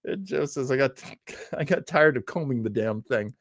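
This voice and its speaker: A man's voice: medium pitch, expressive, moderate pace, dry recording, clean studio sound. A man hosting a live-streamed show, chatting informally with viewers in a rambling way full of asides. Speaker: host of a live-streamed radio nostalgia show. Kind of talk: casual chat, reading out and answering live viewer comments.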